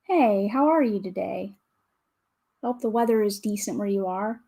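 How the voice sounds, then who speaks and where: A woman with a calm, soothing voice, chatting casually with viewers as a livestream host, greeting and thanking them as she goes. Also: mid-range voice, normal speed, gravelly. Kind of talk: casual livestream chat while building.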